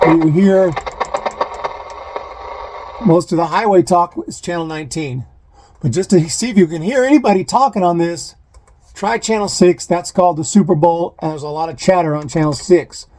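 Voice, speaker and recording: A man giving a casual hands-on demonstration, talking in a halting way as he thinks aloud, recorded on a phone inside a van. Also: high voice. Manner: halting, thinking aloud while handling equipment. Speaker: vlogger, amateur DIY installer. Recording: clear background noise, radio static and clicks. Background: CB radio static and knob clicks.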